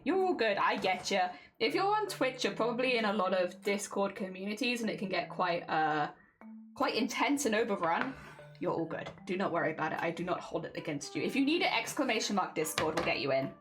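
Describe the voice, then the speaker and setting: A woman's voice: medium pitch, very animated, fairly quick, faint music behind. A young woman with a cold and asthma, wheezing as she chats with her livestream viewers while embroidering. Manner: chatty, greets and answers chat viewers, laughs often.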